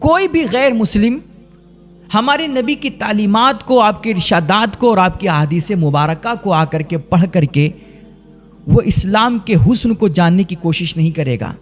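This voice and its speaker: A man from India preaches in an earnest, emphatic way, leaning on rhetorical questions and deliberate repetition. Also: high-pitched, expressive, soft background music. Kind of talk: rhetorical religious sermon.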